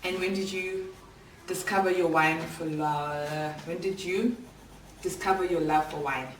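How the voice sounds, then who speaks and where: Low-voiced chatty South African woman. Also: fairly even intonation, a little slow. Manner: chatty and candid, with slang and fillers. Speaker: vlogger. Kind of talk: casual Q&A chat to camera. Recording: echoey room, voice a little far from the mic, clear background noise.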